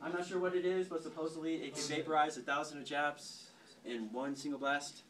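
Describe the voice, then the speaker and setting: A young man with a fairly high voice holds forth in a cocky, halting storytelling style. He is flippant and informal. The mic sits at a distance and other people are clearly chattering around him. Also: fairly even intonation, a crowd in the background. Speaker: storyteller host playing a cadet gunnery sergeant. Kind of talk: informal history storytelling to a small group. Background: several people chattering and interjecting.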